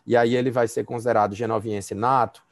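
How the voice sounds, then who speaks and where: A man's voice: mid-range voice, steady tone, a little fast, dry recording. A Brazilian man, a law professor, giving a conversational university lecture and feedback over a video call, with frequent fillers and restarts.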